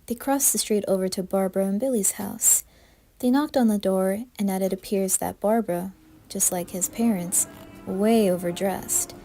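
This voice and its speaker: A woman's voice: mid-range voice, normal speed, warm tone, soft background music. A woman reading scripted horror narration as a storyteller.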